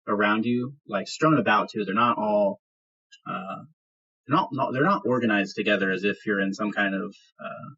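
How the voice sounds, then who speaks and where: Low, husky-voiced man narrating a game. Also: pushed and bright. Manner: improvised, conversational narration. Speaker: game master. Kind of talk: narrating a tabletop roleplaying game. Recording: dull lo-fi sound.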